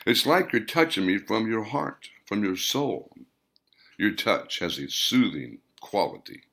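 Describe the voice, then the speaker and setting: A man voicing a gentle, soft-spoken woman in audiobook dialogue, tender and hushed in delivery. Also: fairly low pitch, big pitch swings, measured pace, creaky, full character voice.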